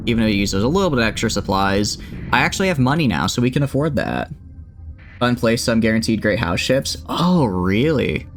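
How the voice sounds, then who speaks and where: A man's voice: on the low side, a little hiss or hum. A man chatting with viewers while streaming a game, rambling and joking at his own expense. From United States. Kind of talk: casual gameplay stream chat.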